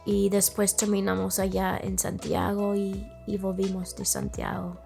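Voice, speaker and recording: A woman's voice: medium pitch, monotone, measured pace, vocal fry, warm tone, soft background music. A young woman, a native English speaker from Northern Ireland, tells travel stories in Spanish with a Chilean-tinged accent, searching for words as she goes. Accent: Chilean-inflected Spanish learner. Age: young adult. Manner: searches for words, restarts, laughs easily. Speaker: interview guest. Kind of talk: casual storytelling.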